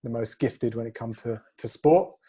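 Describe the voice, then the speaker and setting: Man, London accent, medium-pitched voice, modest, casual interview guest, fitness coach. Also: normal speed, mellow, breathy edge. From North London, England. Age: 30s or 40s.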